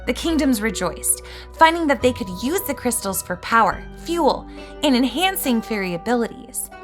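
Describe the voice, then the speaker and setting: High-voiced, eager fairy scholar acted by a woman. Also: moderate pace. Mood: storytelling, grand. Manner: earnest, lecturing, excitable. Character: eager bookish fairy scholar obsessed with magic gems. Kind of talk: scripted roleplay voice acting with storytelling. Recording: music behind the voice.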